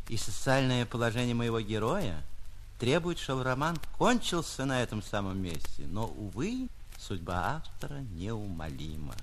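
A man narrating a literary short story like a stage actor, theatrical, with drawn-out emphasis and dramatic pauses. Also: mid-range voice, a little hiss or hum.

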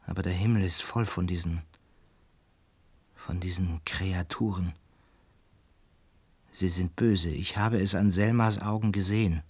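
Low-voiced, haunted lonely man. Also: steady tone, darkish tone, slightly rough, exaggerated voice. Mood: haunted, brooding. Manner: halting, brooding, swinging from murmurs to anguished cries. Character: lonely, distraught male lodger recording a last cassette. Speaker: actor narrating in a radio play. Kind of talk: dramatic radio play monologue.

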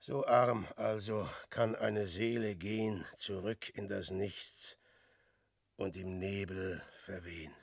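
An actor in a classic German radio play, playing an aging, despairing wanderer who speaks slow, declamatory verse. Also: male voice, lowish voice, steady tone, creaky, husky, no echo, studio quality, heavily acted. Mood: lamenting. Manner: slow, declamatory verse, swinging from loud outbursts to hushed murmurs. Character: Peer, an aging despairing wanderer facing death.

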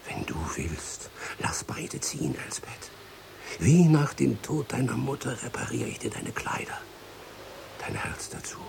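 Ein Schauspieler spielt im Hörspiel einen treu ergebenen alten Kutscher, der gedämpft, eindringlich und vertraulich spricht. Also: male voice, mid-range voice, very animated, a little slow, gravelly, whispered, full character voice. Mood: hushed pleading. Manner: hushed, pleading, intimate. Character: devoted old coachman, longtime family servant in love. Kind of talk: radio drama acting.

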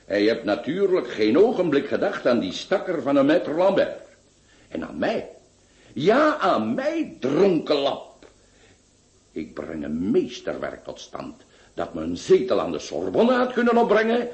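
A man's voice: medium pitch, huge pitch swings, unhurried, darkish tone. A grandiloquent, eccentric doctor for a radio play. His voice leaps wildly up and down as he lectures and scolds. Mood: scolding. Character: pompous eccentric male doctor, theatrical. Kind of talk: radio drama acting.

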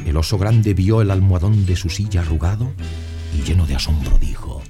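A man's voice: deep voice, brisk. A man narrating a children's story in a scripted storytelling style. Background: light music.

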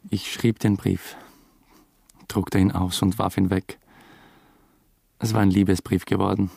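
An actor playing a young theatre employee in a radio drama, dry, understated and defensive. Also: male voice, low voice, little pitch movement, a little slow, creaky, warm tone, slightly rough, heavily acted. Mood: dry, reflective. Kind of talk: radio drama narration and dialogue.

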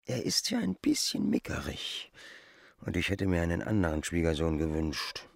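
An actor playing a shrewd, easygoing old farmer, muttering his thoughts in a gravelly, husky voice in a radio play. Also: low voice, wildly swooping pitch, moderate pace, no room sound, studio quality, heavily acted. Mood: musing, calculating. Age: older. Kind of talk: radio play acting, thinking aloud.

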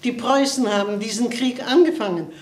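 A woman's voice: fairly high pitch, fairly quick, natural voice. A woman playing a small, outspoken older aunt in a radio play, indignant and foreboding. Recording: roomy indoor echo.

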